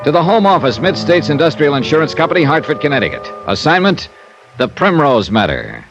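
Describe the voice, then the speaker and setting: An actor playing a breezy, wry, cool-headed insurance investigator in 1950s radio detective style. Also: male voice, on the high side, big pitch swings, brisk. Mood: crisp narrating. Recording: muffled vintage radio recording, loud music.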